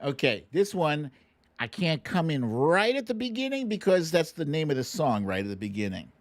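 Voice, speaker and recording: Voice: medium pitch, very animated, normal speed. A livestream host chatting casually and reading out names in a playful, informal, self-deprecating way. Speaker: livestream host running a song-guessing game.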